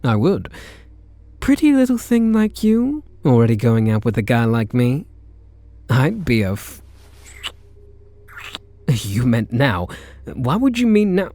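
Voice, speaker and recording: A man's voice: mid-range voice, wildly swooping pitch, dark tone, exaggerated voice. A man with a British accent playing a smitten kidnapper, a sweet-talking gangster, in an intimate ASMR roleplay. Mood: wistful, flirtatious. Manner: slow, hesitant sweet talk with pet names.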